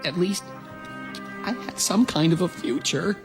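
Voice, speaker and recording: A lively-pitched, hesitant teenage boy, nerdy, awkward and sorry for himself. He performs in a radio play with music playing behind him. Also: a little slow. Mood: glum. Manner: stammering, hesitant. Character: awkward, self-pitying seventeen-year-old nerdy boy. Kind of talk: radio drama dialogue and narration.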